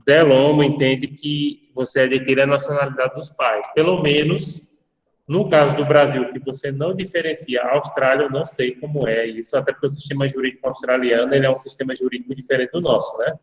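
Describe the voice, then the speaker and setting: A man's voice: mid-range voice, steady tone, brisk. A male professor with a Brazilian accent lecturing his class, explaining at length and often checking in with tag questions. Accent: Brazilian Portuguese. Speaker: university law professor. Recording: echoey hall-like sound, distant from mic.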